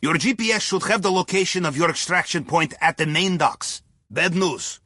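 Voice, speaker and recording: A man's voice: fairly high pitch, normal speed, sharp tone, no room sound. A man playing a wry, upbeat Russian submarine captain guiding a heist crew in a video game, with a Russian accent and clipped English. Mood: wry, briefing. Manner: wry, playful, theatrical, with clipped non-native English. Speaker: mission guide over comms.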